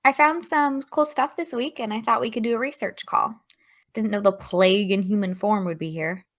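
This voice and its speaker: A woman's voice: mid-range voice, normal speed, natural voice. An actress playing an earnest, studious teenage girl with a chatty, eager, slightly awkward manner in an audio drama. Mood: friendly. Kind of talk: naturalistic audio drama acting.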